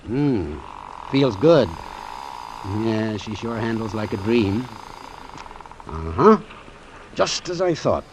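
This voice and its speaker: A man's voice: on the low side, very animated, slow pace, pauses often, noisy background. A man playing a wary, hard-boiled doctor who narrates his sea adventure in terse, suspenseful old-time radio style. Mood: wry, probing. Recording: vintage radio drama with music underneath.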